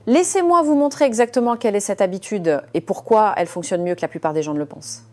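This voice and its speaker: Mellow-voiced woman telling a story. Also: medium pitch. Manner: measured, confiding, with frequent hesitant fillers. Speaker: narrator presenting as a surgeon. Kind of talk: first-person storytelling narration.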